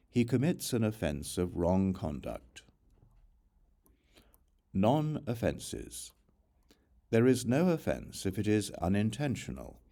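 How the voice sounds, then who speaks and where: A man reading scripture as an audiobook narrator, in a measured, formal recitation. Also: low-pitched, gravelly, mellow. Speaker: audiobook reader.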